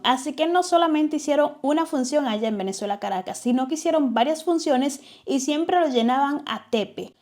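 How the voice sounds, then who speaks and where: A woman's voice: high voice, darkish tone. A young Dominican woman with a Dominican accent, hosting a channel and narrating a documentary in a chatty, digressive way, speaking straight to her viewers. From Dominican Republic. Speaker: YouTube channel host.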